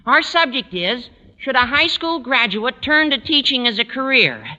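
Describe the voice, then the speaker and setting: High, squawky teenage schoolboy. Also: sing-song, normal speed, bright, forceful tone, exaggerated voice. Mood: earnest, formal. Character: earnest, high-voiced teenage high school boy. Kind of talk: 1940s radio situation comedy acting. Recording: dull, noisy, reverberant 1940s radio recording.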